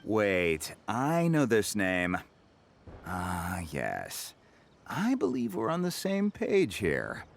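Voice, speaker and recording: Wary male merchant boss for a game: deep, theatrical, hugely varied intonation. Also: wildly swooping pitch, very slow, creaky, slight background noise, heavily acted. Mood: agreeable, businesslike. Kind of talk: scripted game character dialogue. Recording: music behind the voice.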